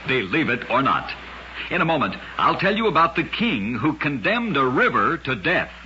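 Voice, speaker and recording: A man's voice: mid-range voice, sing-song, moderate pace, bright, forceful tone, slight background noise. A man hosting and narrating scripted old-time radio trivia stories in a dramatic, emphatic manner. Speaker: radio show host and storyteller. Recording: dull, lo-fi old radio recording.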